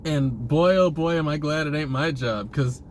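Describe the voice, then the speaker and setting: Rambling man with a higher-pitched voice. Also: normal speed, slight background noise. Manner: hesitant, repeats and restarts phrases, often chuckling. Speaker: vlogger posing questions to spiritual teachers. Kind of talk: casual rambling monologue addressed to camera.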